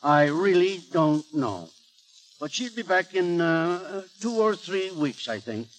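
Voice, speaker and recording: Casting: muddled elderly academic father, high-pitched and dithering, very animated delivery, 1930s radio comedy. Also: measured pace, soft background music, low-quality recording. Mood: flustered, vague. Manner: dithering, hesitant, forgetful. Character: befuddled, absent-minded old professor and father. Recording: vintage 1930s radio broadcast.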